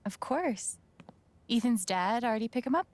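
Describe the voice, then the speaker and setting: An actress playing a gentle, caring young woman in a video game, soft-spoken and sincere in a naturalistic way. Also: a little high, animated, normal speed, lightly acted. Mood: warm, sincere.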